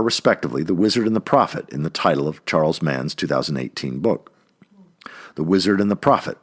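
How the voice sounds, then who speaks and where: A man's voice: low-pitched, breathy edge. A man reading an essay aloud as scripted narration, with emphatic delivery. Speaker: audiobook-style reader of an essay.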